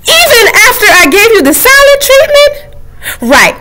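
A woman voicing a frantic, pleading male monster from a picture book, shrill and desperate. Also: big pitch swings, measured pace, noisy background, heavily acted. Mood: threatening.

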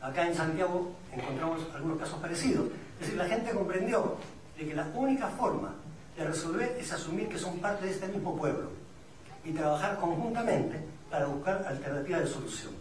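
A man's voice: a little high, animated, fairly quick, crowd noise. Peruvian guy giving a panel talk, explains things with rhetorical questions, mic far away, some audience noise. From Peru. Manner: reflective and didactic, poses rhetorical questions. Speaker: migrant community representative speaking on a panel. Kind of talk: public talk at a discussion forum. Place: indoor event room at a public forum. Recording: echoey room, distant mic, audience sounds.

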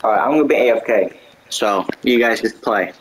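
A man's voice: fairly low pitch, brisk, slight background noise, rough recording. A man hosting a casual gaming voice chat with friends, answering questions offhandedly. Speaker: channel host playing online with friends.

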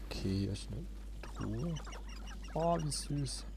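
Casting: male let's player, casual and playful, unhurried pace, game noise clearly behind. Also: mid-range voice, lively intonation. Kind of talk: casual gameplay commentary. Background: video game ambience.

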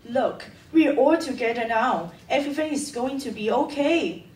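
A woman's voice: high voice, normal speed, a little mellow, lightly acted. A teenage girl acting a sensible, reassuring schoolgirl explorer in a radio drama. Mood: steady, concerned. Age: teens. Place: stage of a studio theatre. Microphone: far camera. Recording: echoey theatre, distant microphone.

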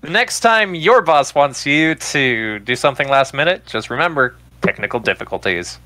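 Man, bright tense voice, teasing, joking, tabletop player. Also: mid-range voice, expressive, normal speed. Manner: joking, teasing, corrects the recap. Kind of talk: casual chat at a game table.

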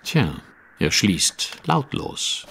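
An actor playing a courteous, self-assured detective with a formal, probing way of speaking, in a German audio drama. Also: male voice, lowish voice, very animated, extremely slow, creaky, fairly bright tone, breathy edge, long reverb tail, exaggerated voice. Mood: confident, testing.

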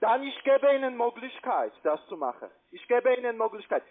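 A man with a foreign accent giving a pushy, repetitive phone sales pitch in halting non-native German. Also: very animated. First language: not German. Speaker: call centre investment salesman. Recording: tinny band-limited phone line.

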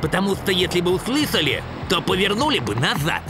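A man voicing an excitable male musketeer dog companion in a cartoon dub, eager and boisterous. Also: sits high, a little fast, music in the background.

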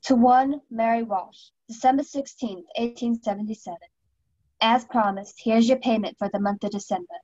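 A woman's voice: a little high, moderate pace, darkish tone, dry recording, dull audio, lightly acted. A young woman playing a nervous, evasive suspect in an amateur radio play. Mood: reading aloud. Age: young adult.

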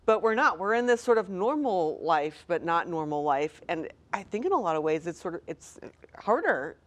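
A woman's voice: fairly low pitch, big pitch swings, moderate pace. A woman in her thirties hosting a studio talk show in a casual, conversational way. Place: TV studio, seated indoors.

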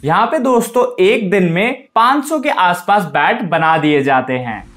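A young man narrates a vlog tour. His voice sits somewhat high for a man, and he addresses viewers as friends. Faint music plays behind him. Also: lively intonation, measured pace. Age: young adult. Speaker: vlog host.

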